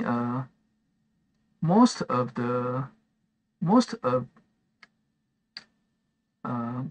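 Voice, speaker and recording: A man from Taiwan whose first language is Chinese, chatting slowly through a live game stream with many fillers and restarts while he answers viewers' messages. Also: mid-range voice, poor audio. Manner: reads chat messages aloud and answers them. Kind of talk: live gameplay stream chat.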